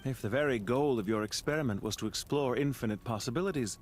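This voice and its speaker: An actor playing a proud, composed, calculating magnate in a video game, with a dignified, deliberate and formal delivery. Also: male voice, mid-range voice, darkish tone, natural voice. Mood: calm farewell. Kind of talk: scripted video game voice acting. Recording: music under the voice.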